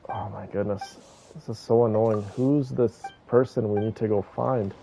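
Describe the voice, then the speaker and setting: A man's voice: fairly low pitch, fairly even intonation, normal speed, mellow, highs cut off, faint music behind. A man giving casual gameplay commentary, thinking aloud and talking to his viewers. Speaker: game streamer playing and commenting.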